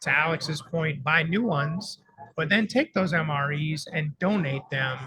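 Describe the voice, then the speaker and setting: A man's voice: a little high, unhurried, a crowd in the background, poor audio. A man co-hosting a live radio show from a conference, talking in a chatty, upbeat way. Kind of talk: casual live radio chat. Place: conference floor broadcast.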